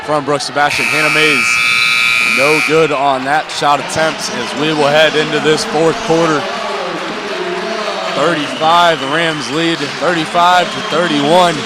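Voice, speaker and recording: A man calling live basketball play-by-play in a radio-style broadcast. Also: fairly high pitch. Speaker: play-by-play announcer. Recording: loud gym crowd and court noise behind. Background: cheering crowd and bouncing basketball.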